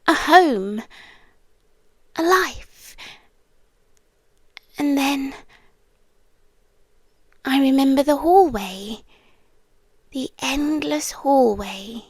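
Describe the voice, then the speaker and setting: A British woman playing a lonely, possessive demon girlfriend in an intimate ASMR roleplay, breathy and coaxing, often slipping into whispers with lingering pauses. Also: high-pitched, no echo, heavily acted. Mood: haunted, hushed. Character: lonely, possessive yandere demon girlfriend, once human, soft-spoken. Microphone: close ASMR microphone, dry.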